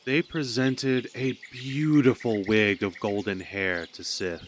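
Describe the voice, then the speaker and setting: A man's voice: fairly low pitch, steady tone, slow, a little hiss or hum. A man narrating a myth as a scripted storyteller in an audio drama.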